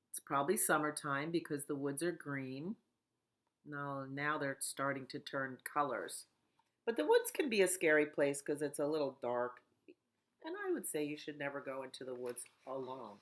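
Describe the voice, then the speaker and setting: A middle-aged woman at home, a children's librarian talking gently and in a sing-song way during a storytime. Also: sits low. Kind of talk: storytime reading and chat for children.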